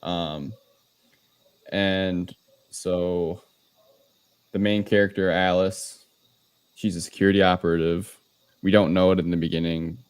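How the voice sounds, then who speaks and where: A man's voice: deep voice, flat delivery, a little slow, long pauses, clean tone, no room sound. A man hosting a podcast, casually recapping a film in an informal, hesitant, loosely explaining way. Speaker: podcast host. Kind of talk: casual movie recap discussion.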